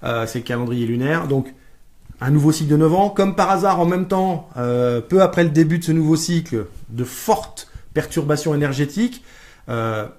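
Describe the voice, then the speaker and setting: A man's voice: on the high side, expressive, moderate pace, darkish tone. Chatty man co-hosting a live stream. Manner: jokey, searching for words, playful put-on slang. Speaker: co-host and life coach. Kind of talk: casual live-stream chat.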